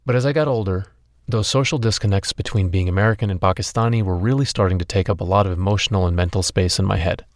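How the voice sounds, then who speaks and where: Low-voiced man narrating from a dry booth. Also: fast talker, no room sound. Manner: candid, personal storytelling. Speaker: podcast host and narrator. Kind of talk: scripted narration and interviewing.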